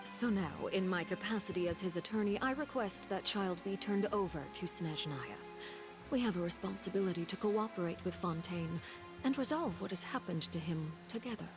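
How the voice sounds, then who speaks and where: A woman's voice: on the low side, moderate pace, close to an everyday voice. A woman voicing a coolly menacing, commanding diplomat in a video game, formal and controlled. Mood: formal, demanding. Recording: game music behind.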